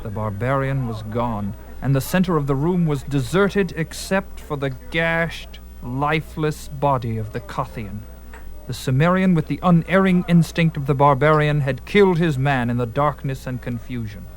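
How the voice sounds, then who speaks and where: A man's voice: medium pitch, animated, normal speed, low-quality recording. A man narrating a fantasy story in a vivid, theatrical, literary storytelling style. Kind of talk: dramatic scripted fantasy narration. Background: music.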